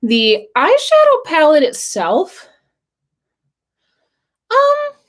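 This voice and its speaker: Husky, hoarse woman vlogger. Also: high-pitched, wildly swooping pitch, drawn-out words. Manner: chatty, frequent throat clearing and fillers. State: hoarse, losing her voice. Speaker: beauty vlogger. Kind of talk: casual makeup review chat.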